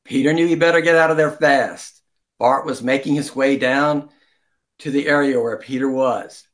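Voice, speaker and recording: A man reading a children's story aloud as an amateur storyteller, deliberately, with some stumbles and throat-clearing. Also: mid-range voice.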